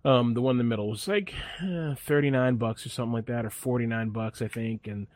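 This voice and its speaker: A man's voice: mid-range voice, fairly quick, darkish tone, dry recording. A man chatting casually on a podcast, telling a rambling, laid-back story full of fillers and restarts. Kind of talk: casual chat.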